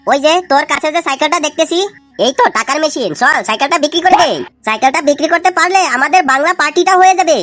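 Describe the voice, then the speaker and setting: A cheeky young cartoon lad, played by a woman, wheedles excitably. The high voice rattles along quickly over faint music. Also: dry recording. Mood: coaxing. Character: squeaky cheeky young cartoon slacker lads. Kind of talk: amateur comedy cartoon dialogue.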